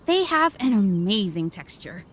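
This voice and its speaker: A woman's voice: mid-range voice, very animated, measured pace, slight background noise. A woman playing a polite young waitress in a video game, presenting things in a salesy, chirpy manner. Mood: polite, inviting. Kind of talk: English video game voice acting.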